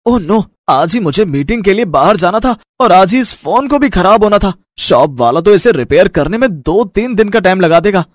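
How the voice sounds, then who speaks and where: A man's voice: sits high, lively intonation, normal speed, few pauses, no room sound, studio quality. A man voicing a young urban husband who mixes English words into his talk, in a family story. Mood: frustrated.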